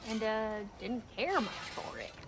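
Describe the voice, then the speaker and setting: A cheeky female dwarf smith in a video game. Her voice is high and bright, bouncing expressively. She talks in a playful, colloquial way, flirty and sassy, over faint ambience. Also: lively intonation, measured pace, a little hiss or hum. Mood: gossipy. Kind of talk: animated video game acting.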